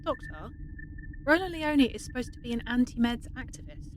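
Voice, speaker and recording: A woman's voice: sits high, huge pitch swings, normal speed, a little hiss or hum. A woman playing an incredulous, shaken research chief in a sci-fi audio drama, speaking with urgent disbelief.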